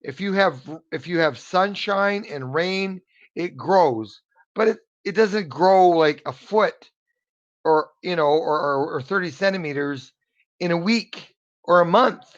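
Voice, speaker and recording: An American man gives a one-on-one English lesson, encouraging and earnest, doubling back on his words as he goes. His voice sits a little high for a man. It comes through a muffled video-call line. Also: measured pace. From United States. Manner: earnest, encouraging, repeats words while searching for them. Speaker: English tutor. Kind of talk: motivational one-on-one online lesson.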